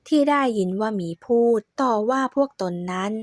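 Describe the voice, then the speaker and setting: A woman narrating a folk tale in a steady, measured storytelling voice. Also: on the high side, no room sound, clean studio sound.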